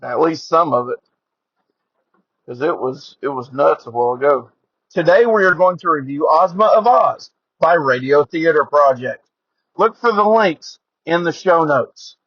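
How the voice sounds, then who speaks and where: Higher-pitched man podcasting casually from a car. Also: measured pace, slightly rough, dry recording, highs cut off. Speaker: podcast host. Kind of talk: casual solo podcast monologue and review. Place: inside a car during rain. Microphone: headset or earphone mic.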